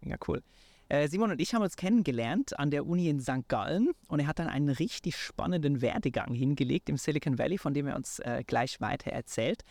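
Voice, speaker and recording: A man's voice: on the high side, expressive, fairly quick, vocal fry, a little mellow, dry recording. A man hosting a podcast from inside a camper van, greeting listeners and his guest in a chummy, upbeat way. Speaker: podcast host. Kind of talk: casual podcast interview.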